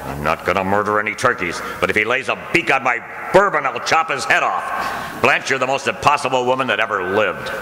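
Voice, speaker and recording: A man's voice: medium pitch, animated, fairly quick, sharp tone, a little hiss or hum. A man acting a blustering, exasperated, long-suffering husband in an old-time radio comedy on stage. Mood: indignant. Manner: blustering, indignant. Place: auditorium stage, live radio-play performance. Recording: echoey hall, live stage performance.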